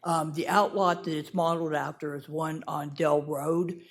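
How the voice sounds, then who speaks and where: A woman giving a loud, frank public comment as a neighbor in a council chamber. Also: low voice, steady tone, normal speed. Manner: loud, frank, self-mocking. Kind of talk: public comment at a city meeting.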